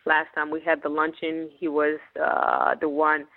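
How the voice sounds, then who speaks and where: A woman's voice: deep voice, flat delivery, measured pace. Woman on a tinny radio line. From Haiti. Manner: earnest, many restarts and repeated words. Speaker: guest, business owner. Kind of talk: radio interview. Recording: tinny phone-like radio broadcast.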